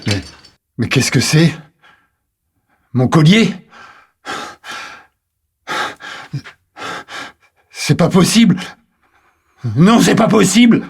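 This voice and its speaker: An actor playing an exhausted, obsessed sculptor, intense and repetitive, in a French audio drama. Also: male voice, sits high, big pitch swings, slow, creaky, a little hiss or hum, exaggerated voice. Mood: shocked, disbelieving. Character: Amine, obsessed, exhausted male sculptor on the edge of madness. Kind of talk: French fiction podcast acting. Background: music.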